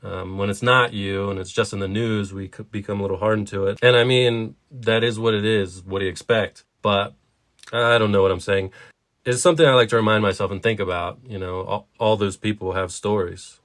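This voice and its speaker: A man's voice: fairly low pitch, steady tone, a little fast, fairly bright tone. A man co-hosting a podcast, discussing stories conversationally in an earnest, reflective way. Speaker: podcast co-host.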